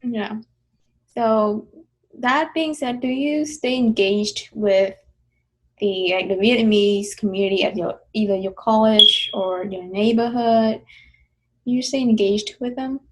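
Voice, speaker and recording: A woman's voice: mid-range voice, steady tone, slow, rough recording. A woman interviewer asking plainly worded questions in an oral history interview and giving short backchannels.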